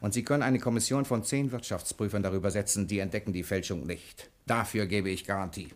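An actor playing a cocky, quick-witted bank cashier in a 1960 radio crime drama, brisk, self-assured and commanding. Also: male voice, lowish voice, natural voice. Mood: cocky, impatient.

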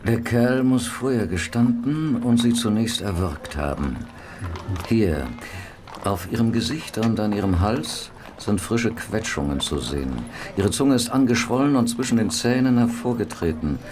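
Dry grim police doctor, lowish voice, clinical, radio play, bit of noise. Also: male voice, expressive, unhurried. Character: matter-of-fact male police doctor, drily grim. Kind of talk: German radio play acting.

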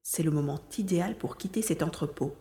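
A woman's voice: deep voice, a little fast, dark tone, echoey room. A woman playing a determined young boy hero in an audio drama, thinking aloud as he acts. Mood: watchful. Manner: thinks aloud, narrating own actions.

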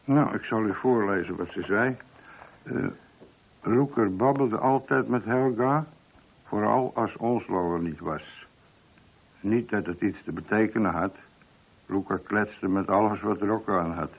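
Low husky police sergeant. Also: male voice, unhurried. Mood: reporting. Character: chatty male police sergeant reporting to superior. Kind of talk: radio drama acting. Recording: dull, muffled old radio drama recording.

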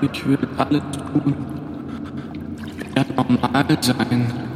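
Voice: mid-range voice, flat delivery, slow pace, background noise, lightly acted. An actor playing an anxious, obedient boy swimmer who longs to be normal, in a radio drama. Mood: pleading. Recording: reverberant hall with music.

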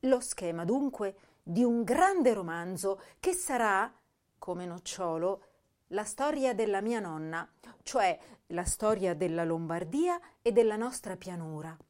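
Woman acting an ardent young woman poet, unhurried. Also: mid-range voice, lively intonation, darkish tone, natural voice. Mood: ardent, hopeful. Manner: lyrical, measured, dramatic delivery. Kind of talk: literary reading of letters and poems in a radio drama.

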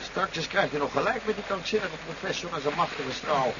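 An actor playing a curt military pilot with a clipped, dryly ironic manner in a 1970s Dutch radio drama. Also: male voice, fairly high pitch, expressive, brisk, close to an everyday voice. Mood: relieved, uneasy. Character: male military pilot, curt and cool under pressure. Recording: muffled, noisy 1970s radio recording.

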